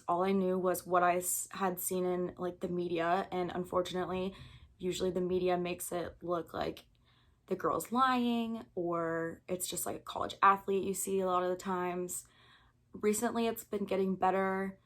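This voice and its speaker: A young American woman in her early twenties speaks in a slightly low voice. She talks informally and earnestly, as if addressing a camera in a candid personal video. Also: a bit bright. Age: early 20s.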